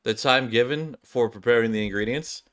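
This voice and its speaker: A man's voice: medium pitch, normal speed, a bit bright, natural voice. A man voicing a commanding cooking contest judge who makes formal announcements in a game story.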